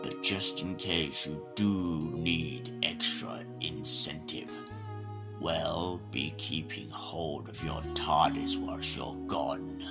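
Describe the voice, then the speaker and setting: An actor playing a stern, authoritative male president at war in an audio drama, speaking formally and gravely. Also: a bass voice, fairly even intonation, slow, loud music behind the voice, full character voice. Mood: firm.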